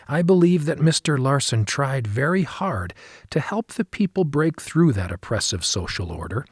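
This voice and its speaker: A man with a slightly husky voice reads a memoir as an audiobook narrator, in a measured, earnest manner. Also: medium pitch, darkish tone, no echo, clean studio sound.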